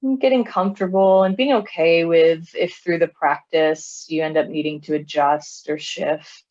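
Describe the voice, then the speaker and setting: A woman leading a guided body scan meditation in a calm, soothing manner, leaving long pauses between phrases. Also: deep voice, lo-fi sound. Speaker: meditation teacher.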